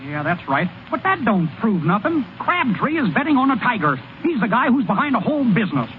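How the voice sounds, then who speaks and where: Casting: guileless American hayseed pitcher, high and very animated, halting folksy talk, vintage radio play. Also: male voice, phone-quality sound. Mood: earnest, urgent. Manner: folksy, ungrammatical, hesitant stammering speech. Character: naive, boastful-turned-dejected hayseed male baseball pitcher. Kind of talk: old-time American radio drama acting. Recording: thin, noisy vintage radio broadcast recording.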